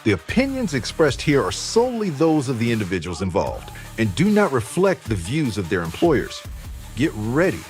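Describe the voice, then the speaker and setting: Lively male announcer over soft music. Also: medium pitch, normal speed. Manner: hyped, punchy announcer delivery. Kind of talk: scripted show intro.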